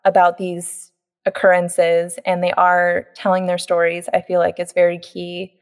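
A woman hosting a podcast, talking in a relaxed, agreeable way with casual fillers. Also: lowish voice, unhurried. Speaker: podcast host.

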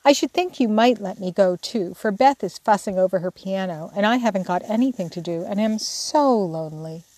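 A woman's voice: mid-range voice, moderate pace, a little mellow, no echo, natural voice, low-quality recording. A woman voicing a petulant, pleading little girl, the stubborn youngest sister in a storybook. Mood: coaxing, lonely.

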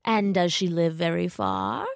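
A woman voicing a cunning, hungry male wolf who feigns politeness in slow, drawn-out, insinuating speech for a children's story. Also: fairly low pitch, sing-song, vocal fry, no room sound, studio quality, heavily acted. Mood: sly, coaxing.

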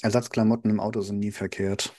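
A man's voice: fairly low pitch, flat delivery, quick pace, mellow. A man in his thirties co-hosting a casual podcast, easygoing and dry, often restarting his sentences. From Germany. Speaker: podcast co-host.

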